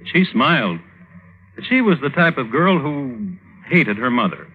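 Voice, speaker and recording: A man's voice: medium pitch, sing-song, unhurried, phone-quality sound, very noisy. A man hosting and narrating a vintage radio drama in a wry storytelling style. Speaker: host and narrator. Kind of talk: 1950s radio scripted narration. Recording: band-limited old radio recording. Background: music.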